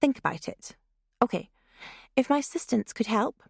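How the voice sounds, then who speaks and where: A woman's voice: on the high side, lively intonation, normal speed, vocal fry, slightly rough, no room sound, clean studio sound, voice pushed up, exaggerated voice. A woman voicing a bossy, theatrical teenage girl who leads a prank. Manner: commanding, theatrical.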